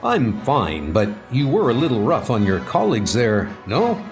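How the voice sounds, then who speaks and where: A man's voice: mid-range voice, huge pitch swings, moderate pace. A man playing a steadfast, wry, confident superhero in a comic book audio drama. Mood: mildly reproving. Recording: music under the voice.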